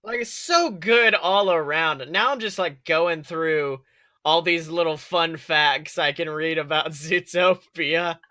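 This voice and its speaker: A man with a very bright, fairly high voice co-hosts a casual podcast chat. He is excitable and full of exclamations, and swears freely. The sound is close and dry. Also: takes it slowly, no echo. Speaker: podcast co-host. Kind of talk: casual chat about an animated film.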